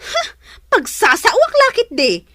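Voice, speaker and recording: A woman's voice: extremely high pitch, wildly swooping pitch, unhurried, sharp tone, dry recording, clean studio sound, full character voice. A woman playing a spoiled young sister-in-law in a radio drama, haughty, mean and demanding. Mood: disdainful. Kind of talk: serial radio drama acting in Ilocano.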